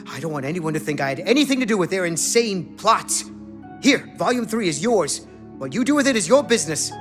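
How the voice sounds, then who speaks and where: A haughty, excitable book collector. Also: male voice, high-pitched. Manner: pompous, flustered. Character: haughty, nervous, defensive male book collector and cult enthusiast. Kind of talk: video game dialogue acting.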